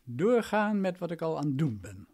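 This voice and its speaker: Imperious male lawyer, swooping pitch. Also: sing-song, normal speed, gravelly, a little mellow, heavily acted. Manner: patronising, imperious. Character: condescending, self-important, domineering male lawyer. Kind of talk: radio play acting.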